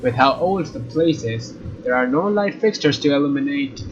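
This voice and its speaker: A man doing a let's play, reading visual novel narration aloud in a casual, expressive way with informal asides. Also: mid-range voice, moderate pace. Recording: dull lo-fi mic, faint noise.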